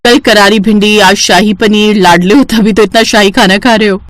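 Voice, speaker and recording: A woman's voice: mid-range voice, steady tone, normal speed, dry recording, natural voice. A woman voicing a sweet, shy and anxious young woman in a family story. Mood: playful.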